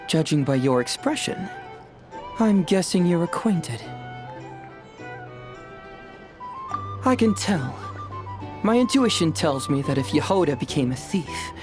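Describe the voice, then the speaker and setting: A man's voice: a little high, big pitch swings, moderate pace, over music. An actor playing a cheerful young male detective in a fantasy game, chatty, theatrical and courteous. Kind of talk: animated game voice acting.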